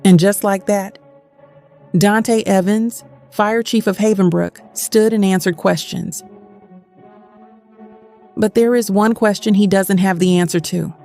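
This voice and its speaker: A woman's voice: medium pitch, fairly even intonation, unhurried, vocal fry, pauses often, dark tone, faint music behind. A woman playing a bitter, haunted woman in her thirties, telling her story in intense first-person dramatic narration. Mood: reflective. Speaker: narrator and lead of an audio drama.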